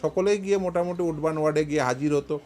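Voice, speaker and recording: A man's voice: a little high, fast talker, a little mellow. A man giving argumentative news panel commentary, repeating words for emphasis. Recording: faint music under the voice.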